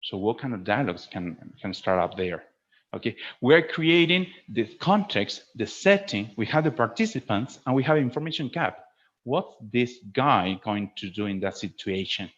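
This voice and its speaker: A man speaking as a teacher trainer in an informal instructional webinar, explaining in an animated way and often checking that listeners follow. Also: medium pitch, normal speed. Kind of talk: informal instructional presentation with acted demonstrations. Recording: dull lo-fi webinar audio.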